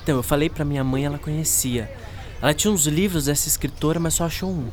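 An actor playing an excitable, chatty and playful schoolboy in a Brazilian radio drama. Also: male voice, a little high, fast, close to an everyday voice. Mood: excited. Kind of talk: scripted radio drama acting.

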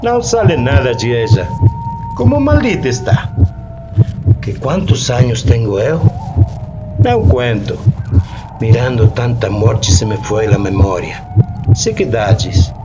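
A weary old peasant broods and rambles in rustic radio theatre. He speaks slowly, his pitch rising and falling widely, over loud background noise. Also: lively intonation, highs cut off. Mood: weary, bitter. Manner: rustic, rambling, brooding, with slow drawn-out phrases. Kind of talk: Spanish-language radio theatre monologue. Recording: noisy recording with loud ambient background.

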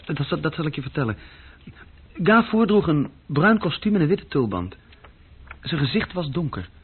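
A thin, phone-like old radio recording of a young clerk with a slightly husky voice. He recounts things in a restless, self-reproaching way, hesitating as he talks. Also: on the high side, big pitch swings, normal speed, full character voice. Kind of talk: radio play dialogue and recollection.

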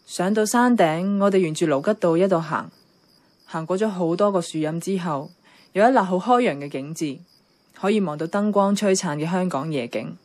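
A woman's voice: fairly low pitch, normal speed, a little mellow, natural voice. A woman playing a caring, nostalgic young niece who narrates and acts in a radio drama, with a Hong Kong accent. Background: music.

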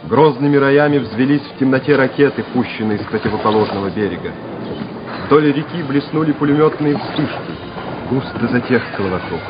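A man's voice: medium pitch, normal speed, music in the background. A man narrating a war radio play in a dramatic, scripted style. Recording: muffled, noisy old radio recording.

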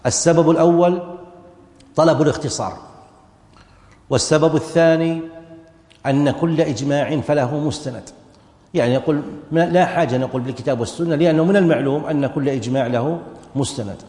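A man gives a step-by-step lecture on a religious text, numbering each point and often restarting his words. His voice is warm and mellow, and it carries with a long, echoey reverb through a large hall. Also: on the high side, quick pace, long pauses. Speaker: Islamic jurisprudence teacher. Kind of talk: classroom lecture explaining a text.

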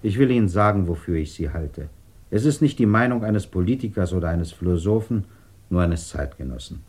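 An actor playing a grave, fatherly man of principle, speaking in measured, formal sentences in a 1950s radio drama. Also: medium pitch, a little mellow, close to an everyday voice. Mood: earnest, philosophical. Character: grave, principled, fatherly interrogator with firm convictions.

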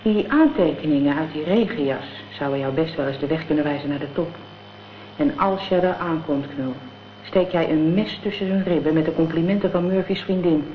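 A woman's voice: low voice, fairly quick, close to an everyday voice. An actress playing a gangster's tough, vengeful girlfriend in a radio drama, talking in blunt, scornful slang. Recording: dull, noisy old radio play recording.

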